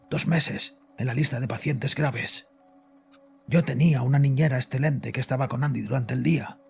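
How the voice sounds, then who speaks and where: A guilt-ridden father in a horror audio drama, his voice very creaky and badly frayed. He confesses in a bitter, frightened, halting way, with music running underneath. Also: medium pitch, heavily acted. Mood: grim, defensive. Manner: agitated, halting, haunted confession. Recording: voice over background music.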